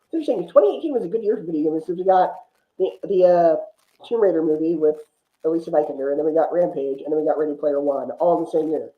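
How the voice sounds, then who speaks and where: A chatty man talks casually about films as a podcast guest, often ready to chuckle. His voice sits in the middle to higher range for casual talk, heard through a dull recording with clear room echo. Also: very fast. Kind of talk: casual film discussion. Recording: dull, echoey room sound.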